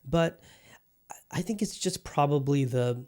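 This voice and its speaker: A man's voice: on the high side, expressive, measured pace, a little mellow. A man hosting his own podcast in a casual solo chat, upbeat and rambling, often repeating his phrases. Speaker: podcast host.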